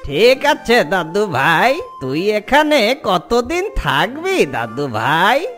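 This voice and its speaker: A woman voicing a doting old ogre grandfather in a fairy-tale cartoon, gently coaxing and cautioning. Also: medium pitch, huge pitch swings, faint music behind, heavily acted. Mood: affectionate, reassuring. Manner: slow, coaxing, affectionate address to a grandchild.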